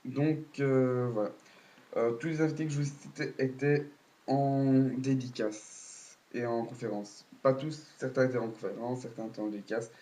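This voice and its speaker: A man's voice: mid-range voice, fairly even intonation, normal speed, warm tone. A man with a cold giving a casual, hesitant spoken recap full of fillers and restarts. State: has a cold, coughing. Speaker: video blogger.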